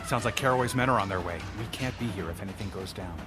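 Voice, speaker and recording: A man's voice: fairly low pitch, quick pace, loud music behind the voice, close to an everyday voice. An actor playing a cautious male sidekick sleuth in an audio drama, dry and a little hesitant. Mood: wary, wry.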